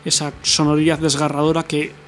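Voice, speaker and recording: A middle-aged musician from Bilbao, answering interview questions thoughtfully, with many fillers and tag questions. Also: male voice, a little high, completely flat delivery, fairly quick, fairly bright tone, a little hiss or hum. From Bilbao, Spain. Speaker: musician guest.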